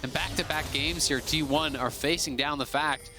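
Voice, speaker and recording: Male color caster, high voice, conversational strategist, music under. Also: steady tone, normal speed. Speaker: color commentator. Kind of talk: esports analysis commentary. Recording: game music behind.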